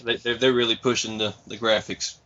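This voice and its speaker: A man in his late twenties, a podcast guest, calmly reminiscing about his early days as a gamer. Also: fairly low pitch, a little fast. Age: 20s. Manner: reminiscing, earnest, steady. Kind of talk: casual chat. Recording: dull lo-fi podcast mic.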